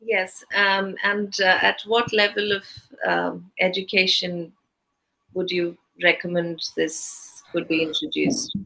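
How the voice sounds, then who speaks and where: A woman from southern India, a native Malayalam speaker with an Indian English accent, asking interview questions haltingly, with many fillers and restarts. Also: fairly low pitch, little pitch movement, vocal fry, pushed and bright, poor audio.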